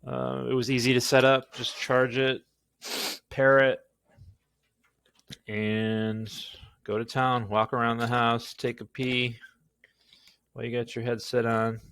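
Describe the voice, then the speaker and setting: A man's voice: mid-range voice, level tone, slow, creaky, long pauses, fairly bright tone, clear, resonant tone, dry recording. A man casually rambling through a product demo as a video host, talking to himself and joking at his own expense in a goofy way. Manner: goofy, self-deprecating joking; talks to himself while working. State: tired.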